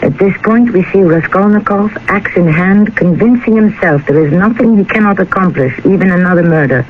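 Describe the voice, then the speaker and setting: A man hosting and narrating a radio mystery drama in a solemn, measured, ominous style. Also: high-pitched. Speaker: host and narrator. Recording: tinny, noisy old radio recording.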